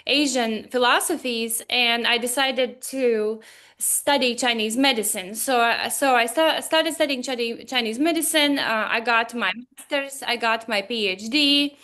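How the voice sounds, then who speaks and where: A woman in her forties from Latvia with a Latvian accent, a guest on a video call speaking animated, slightly broken English. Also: fairly high pitch, measured pace. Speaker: guest, Chinese medicine doctor. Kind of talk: casual interview talk.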